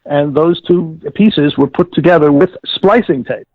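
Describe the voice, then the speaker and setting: An older man being interviewed, explaining and reminiscing in a deliberate, halting way with formal wording and self-corrections, in a gravelly, husky voice. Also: fairly high pitch. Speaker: interviewee, former audio producer-director. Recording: tinny phone-like line with room echo.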